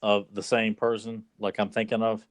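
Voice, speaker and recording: A man's voice: on the low side, little pitch movement, moderate pace. A man co-hosting a podcast in casual chat, laid-back and teasing, with plenty of filler words. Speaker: podcast co-host.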